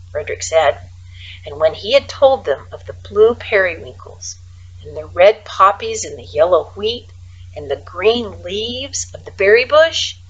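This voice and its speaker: A woman reading a children's storybook aloud like a teacher, expressively, with casual, hushed asides. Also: mid-range voice, slightly rough, muffled recording.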